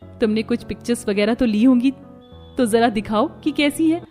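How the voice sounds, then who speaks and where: A gentle, trusting young wife in a family cartoon, played by a woman narrator. Her voice sits a little high, and music runs behind it. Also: normal speed, close to an everyday voice. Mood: cheerful. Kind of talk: animated family drama dialogue.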